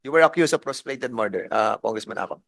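A man's voice: fairly low pitch, lively intonation, quick pace, vocal fry, a bit bright, no room sound. Stern Filipino man chairing a hearing. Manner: stern, repeats questions, mixes Tagalog and English. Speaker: committee chair. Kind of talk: congressional hearing questioning.

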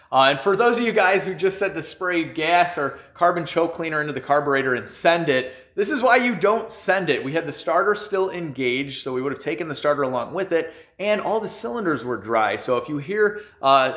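A man's voice: fairly high pitch, a bit bright. A man in his thirties in a casual repair vlog, talking while he works under a car on a lift in a garage and filming on a handheld camera held close. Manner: chatty, exasperated asides, talks while working. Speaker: car restoration YouTuber. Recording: echoey garage.

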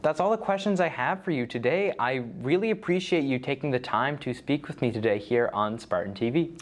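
A teenage student host asking interview questions and reading a scripted wrap-up in an earnest way. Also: male voice, medium pitch, animated, brisk.

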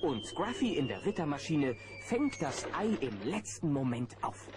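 A man's voice: fairly high pitch, very animated, moderate pace. A man narrating a children's adventure audio play as an engaged storyteller. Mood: suspenseful. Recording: noisy old cassette recording.

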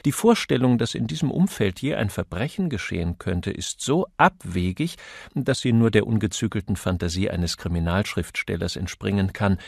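A man's voice: lowish voice, vocal fry, no room sound, studio quality. A man reading a crime novel aloud as scripted audiobook narration.

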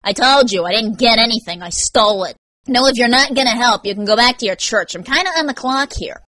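A woman's voice: mid-range voice, normal speed, fairly bright tone, no room sound, pitched above the performer's own voice, natural voice, poor audio. Woman as hot-headed young man, sarcastic, swaggering, foul-mouthed, audio story character, dry close sound. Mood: evasive, irritated.

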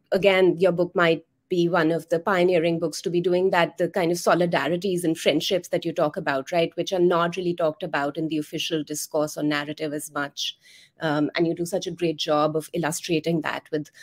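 A woman's voice: on the low side, darkish tone. A woman in her thirties moderating an online conversation in a hesitant, appreciative, conversational way. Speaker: webinar moderator. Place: room at home, video call. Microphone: video call microphone.

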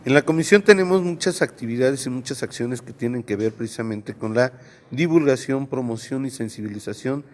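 A middle-aged official speaks into a reporter's handheld mic, slow and deliberate. The room carries a long reverb tail. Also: male voice, medium pitch, darkish tone. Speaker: human rights commission head. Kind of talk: interview statement.

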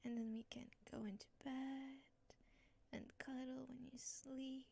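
Slow breathy whispering woman, motherly. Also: high voice, flat delivery. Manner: soft whispering, soothing and caretaking. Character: comforting motherly caretaker. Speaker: ASMR creator. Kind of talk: ASMR roleplay.

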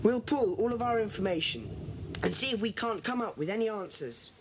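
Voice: medium pitch, expressive, close to an everyday voice. An actor playing a bossy, decisive schoolboy who leads child detectives in a children's audio drama. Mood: thoughtful, organising. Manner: brisk, decisive, organising. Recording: noisy old cassette transfer.

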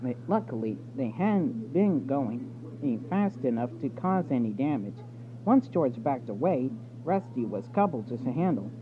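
A man's voice: medium pitch, sing-song, a little slow. A man reading a children's storybook aloud, stumbling now and then over the words. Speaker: storybook reader. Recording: tinny phone-like audio with background noise.

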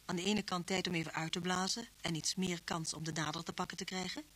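Slightly husky reflective woman narrator. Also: on the low side, fairly even intonation, fast, fairly bright tone. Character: reflective woman narrator, confidante of a police inspector. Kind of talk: radio drama narration.

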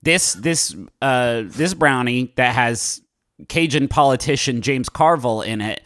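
A man co-hosting a podcast, riffing haltingly in casual comic banter. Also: medium pitch, a bit bright, no room sound, studio quality. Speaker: podcast host.

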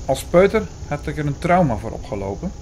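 A man's voice: on the high side, sing-song, darkish tone. Man as earnest narrator over noisy ambience. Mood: troubled, reflective. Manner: measured, formal, bookish storytelling. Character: Hugo, earnest male first-person narrator reading out prophecy. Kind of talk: scripted audio drama narration.

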